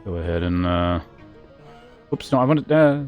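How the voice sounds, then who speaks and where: A man's voice: low voice, expressive, a little slow, lo-fi sound. Informal male game streamer, faint music behind. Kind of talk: casual playthrough commentary and reading game text aloud.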